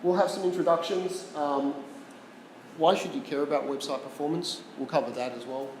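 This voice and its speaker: A man's voice: fairly high pitch, fairly even intonation, moderate pace, a little mellow, slight background noise. A man with an Australian accent giving a casual tech talk to a small live audience, chatty and quizzing the room. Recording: roomy echo with long reverb.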